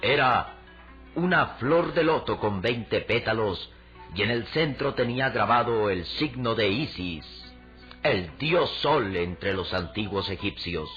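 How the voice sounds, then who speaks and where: Deep, forceful male radio-serial narrator. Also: expressive, slow pace. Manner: solemn, theatrical. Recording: dull radio recording with music underneath.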